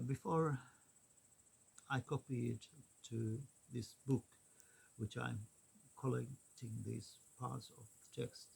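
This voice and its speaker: Man, halting casual monologue, crackly voice, very unhurried. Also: medium pitch, a little mellow. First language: Swedish. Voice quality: gravelly, husky. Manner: halting, many pauses and fillers. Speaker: vlogger.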